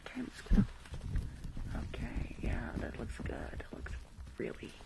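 A woman whispering close to the mic in an ASMR roleplay as a rude dentist, halting and repeating herself with dismissive reassurance. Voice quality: breathy whisper with creaky edges.

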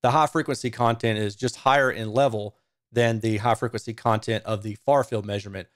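A man, presenting a technical explainer in a measured, informal way at a close microphone. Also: fairly low pitch, fairly even intonation. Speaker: audio reviewer and presenter. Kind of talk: technical explainer, semi-scripted. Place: small home studio room. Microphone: microphone on a stand close in front.

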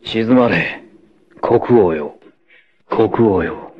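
A man playing a cocky, free-spirited, fearless martial-arts warrior in a dramatic 1980s anime, with theatrical, rough swagger. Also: on the low side, very slow, lo-fi sound, full character voice. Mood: solemn, resolved.

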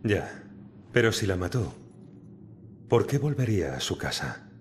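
A cocky male ghost detective from a mystery game, with a lowish voice and very lively pitch. His Castilian Spanish is wry and insistent, over faint background noise. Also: measured pace. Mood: pressing. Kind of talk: video game cutscene dubbing.

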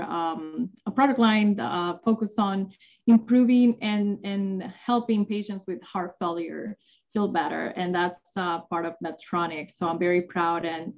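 A woman's voice: medium pitch, a little mellow. A young woman from the Dominican Republic giving an earnest introduction as a panelist in a webinar, with many fillers. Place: home office with bookshelves. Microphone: video call. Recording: muffled video call audio.

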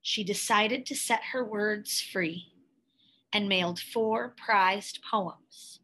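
A woman reading a picture book aloud, with careful, expressive storytelling phrasing. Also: mid-range voice, slow pace, rough recording. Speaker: storybook reader.

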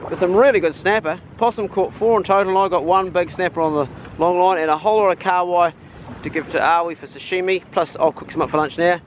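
A middle-aged man with a New Zealand accent, excitedly commenting on fishing in casual slang from a small boat at sea, filmed on a head-mounted action camera. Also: sits high, fast. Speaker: fishing vlogger. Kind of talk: casual outdoor vlog commentary. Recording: wind and water noise.

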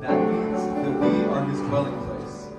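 A woman's voice: very deep voice, little pitch movement, a little slow. A woman solemnly declaiming a scripted worship narration in a church, recorded from far back. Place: church sanctuary. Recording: distant echoey church, loud music behind.

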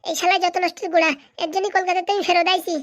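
Belligerent young cartoon villager played by a woman: high voice, scolding, breakneck pace. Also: flat delivery, rapid-fire. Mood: furious. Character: hot-headed, abusive young village man. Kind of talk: comic cartoon dialogue.

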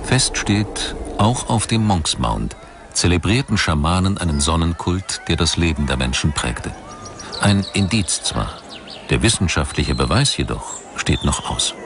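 A man reading scripted documentary narration in a measured, articulate manner. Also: deep voice, expressive, noisy background.

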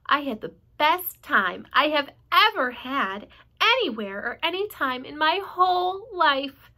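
A woman voicing an excited young girl who gushes with emphasis. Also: high voice, a little slow, voice pushed up, full character voice. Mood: gushing. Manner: gushing, emphatic.

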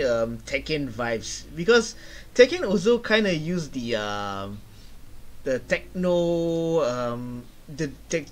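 A young man giving casual reaction commentary in a halting, hesitant way, repeating words as he searches for the next one. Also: fairly high pitch. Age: young adult. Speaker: reaction video host. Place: small room indoors.

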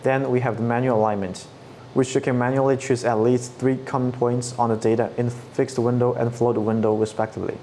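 Flat-toned man explaining software steps. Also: medium pitch, fairly quick, slight background noise. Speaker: product trainer. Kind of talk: scripted tutorial narration. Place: studio set with dark backdrop. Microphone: lapel mic.

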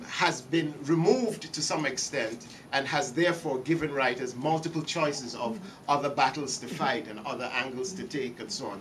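A man's voice: mid-range voice, fairly bright tone, a little hiss or hum. A middle-aged man giving a keynote lecture from a podium, partly reading his text and partly speaking off the cuff, often repeating words as he searches for phrasing. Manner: gestures broadly, repeats words while reaching for phrasing. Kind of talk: academic lecture, partly read. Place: lecture hall, behind a podium. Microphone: podium microphone. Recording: slightly distant, faint room ambience.

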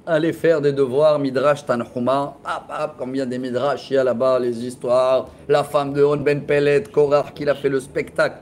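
A man in his forties, a rabbi teaching a lively Torah class in a classroom, telling stories with laughter and gestures into a close webcam. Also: mid-range voice, normal speed, few pauses, slight background noise. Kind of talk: lively storytelling lesson. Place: classroom with bookshelves, indoors. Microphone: laptop webcam at close range.

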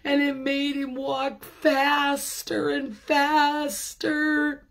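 Very slow-talking older woman reading a storybook. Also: high voice, monotone, fairly bright tone. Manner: slow, asks listeners questions. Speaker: pastor hosting children's story time. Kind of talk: animated children's storybook reading with chatty asides. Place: small room at home, indoors. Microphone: phone or webcam at arm's length.

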